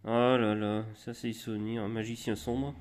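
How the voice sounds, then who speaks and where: A man with a slight cold, showing off flea-market finds in a rambling, halting, casual way, with many restarts. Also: on the low side, little pitch movement. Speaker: collector vlogger. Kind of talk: casual show-and-tell.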